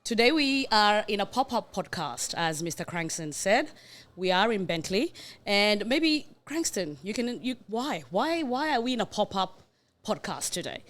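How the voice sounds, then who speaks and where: A playful, self-assured woman co-hosting a podcast on location at a community centre. She jokes freely and keeps chiming in with quick affirmations. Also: mid-range voice, sing-song, a bit bright. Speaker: podcast co-host. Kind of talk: casual podcast chat.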